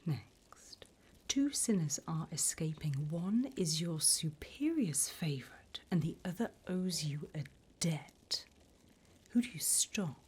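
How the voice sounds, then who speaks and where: Woman acting as a female demon from Hell, breathy whisper, slow and deliberate, low-pitched, sly, sinister, teasing, ASMR roleplay. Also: very animated, darkish tone, heavily acted. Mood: coolly testing.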